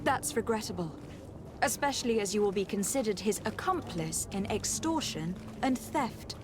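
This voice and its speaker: A woman's voice: medium pitch, animated, moderate pace, background noise, lightly acted. An actress playing a poised, commanding noblewoman diplomat in a video game, speaking formally and articulately. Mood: stern, threatening. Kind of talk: scripted video game dialogue. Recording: music behind the voice.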